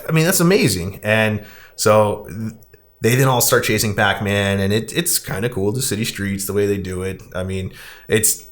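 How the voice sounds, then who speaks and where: A man's voice: lowish voice, normal speed. Man chatting as podcast host. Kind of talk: casual chat, recapping a film.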